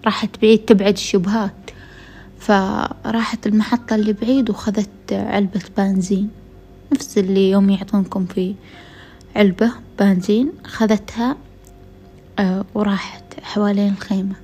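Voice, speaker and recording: A woman's voice: medium pitch, little pitch movement, moderate pace, long pauses, warm tone, breathy edge. A woman with a Gulf Arabic accent hosts a podcast, casually telling a true story straight to listeners, her voice tired from being unwell. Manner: conversational, addresses listeners directly. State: tired voice from a week of illness. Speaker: podcast host.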